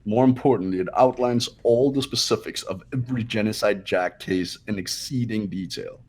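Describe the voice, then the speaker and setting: A man's voice: fairly low pitch, moderate pace, darkish tone, slightly rough. A man voicing a haughty, cold young rich genius who makes accusing declarations in an amateur game dub. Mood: cool, explanatory.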